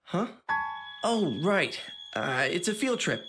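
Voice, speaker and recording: A polite, friendly teenage student in an anime audio drama. His intonation is animated, with an earnest and slightly awkward hesitancy. Soft music sits underneath. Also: sits high, slow, exaggerated voice. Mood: awkward, hesitant.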